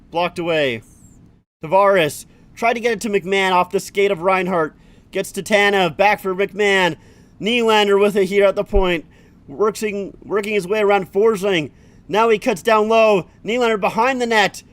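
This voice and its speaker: A man's voice: sits high, fairly bright tone. A man streaming a live hockey watch-along, calling the play-by-play and chatting with viewers between plays. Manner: rapid running play-by-play, swears, chats with viewers.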